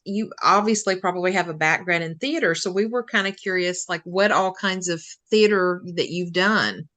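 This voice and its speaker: A woman's voice: lowish voice, normal speed, a bit bright. A woman co-hosting a podcast, chatting casually and often laughing. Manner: giggly, chatty, says "like" often. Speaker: podcast co-host. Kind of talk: casual interview chat.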